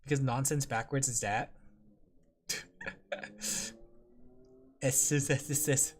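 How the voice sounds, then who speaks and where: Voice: medium pitch, fairly even intonation, slow, soft background music. A vtuber streamer who giggles often and chats playfully with viewers while drawing. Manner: giggly, playful, talks to chat. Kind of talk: casual livestream chat while drawing.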